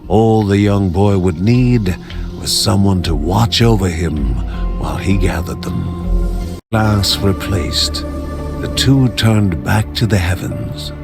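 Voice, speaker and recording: A man playing a solemn storyteller, narrating a fantasy game like a storybook legend in measured, scripted phrases. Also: low-pitched. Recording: music behind the voice. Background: music.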